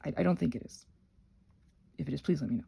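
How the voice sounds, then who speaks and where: Gravelly, low-voiced woman rambling while sick. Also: dark tone. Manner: rambling, halting, self-correcting with many trailing restarts. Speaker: host of a rambling audio channel. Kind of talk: casual unscripted ramble.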